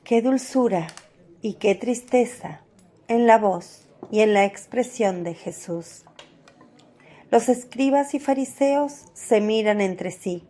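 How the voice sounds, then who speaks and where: A woman narrating a scripted devotional radio drama in a measured storytelling manner. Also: a little high, warm tone.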